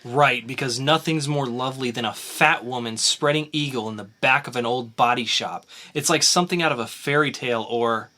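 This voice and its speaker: A man's voice: medium pitch, moderate pace, few pauses, bright, forceful tone, close to an everyday voice. A man reading aloud as a woman in labor, putting on a raised, crude and panicky voice for an amateur dramatic reading.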